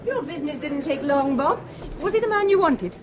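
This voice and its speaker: An actress playing a brisk, polite young woman in a radio drama. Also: sits high, lively intonation. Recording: distant voice with loud hiss.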